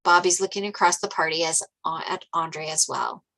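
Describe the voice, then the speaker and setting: Low, bright woman narrator with creak. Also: moderate pace. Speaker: narrator reading stage directions. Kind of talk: scripted screenplay narration at a table read.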